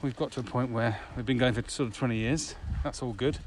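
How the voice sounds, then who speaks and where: A man's voice: mid-range voice, fast. A man, chatting casually as a podcast co-host. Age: 50s. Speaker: podcast co-host, business owner. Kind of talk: casual conversational podcast chat. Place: outdoors at dusk. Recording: clearly noisy outdoor background.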